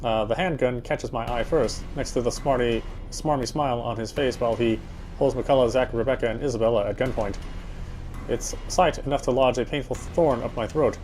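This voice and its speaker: A man's voice: mid-range voice, a little fast, a little mellow, slight background noise. A man reading a horror game story aloud as dramatic narration, occasionally stumbling and rereading phrases.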